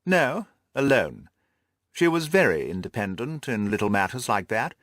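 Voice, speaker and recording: A man's voice: mid-range voice, sing-song, moderate pace, fairly bright tone, no echo, studio quality. A man voicing a haughty, self-satisfied lord in an audiobook, speaking in a pompous, formal, long-winded way. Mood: aloof. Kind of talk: audiobook character dialogue.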